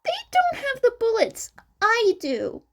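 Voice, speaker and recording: Storybook teenage boy, played by a woman: very high, animated, hesitant, excitable and stubborn. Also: unhurried, heavily acted. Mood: stubborn, defiant.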